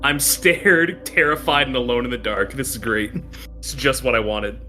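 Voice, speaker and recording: A man's voice: mid-range voice, animated, moderate pace, pushed and bright, soft background music. A man playing a tabletop game, bantering casually with sarcastic jokes. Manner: sarcastic, joking.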